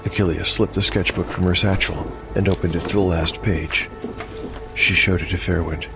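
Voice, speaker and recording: Low-pitched man narrating over a music bed. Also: fairly even intonation, normal speed, gravelly. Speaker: narrator and podcast host. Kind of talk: scripted dark fantasy narration.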